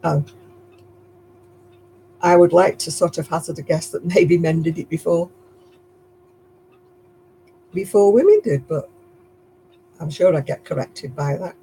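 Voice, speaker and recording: A man's voice: on the high side, expressive, a little slow, creaky, long pauses, mellow, a little hiss or hum, low-quality recording. A man hosting a casual crochet chat, musing tentatively with fillers. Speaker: host of a crochet chat stream.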